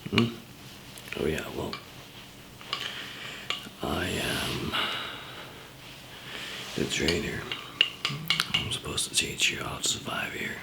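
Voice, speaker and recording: A man playing a calm, easygoing survival trainer in an ASMR zombie apocalypse roleplay, whispering softly and hesitantly with a gravelly, breathy voice. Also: very deep voice, very noisy, full character voice. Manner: hesitant, halting, soft-spoken. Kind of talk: ASMR roleplay, improvised monologue to listener.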